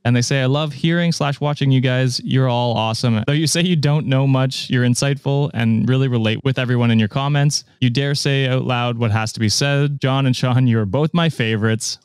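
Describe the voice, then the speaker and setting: Male co-host, monotone and smooth, dry close mic, self-deprecating. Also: mid-range voice, brisk, hardly any pauses, dry recording. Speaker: podcast co-host reading reviews. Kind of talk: casual chat.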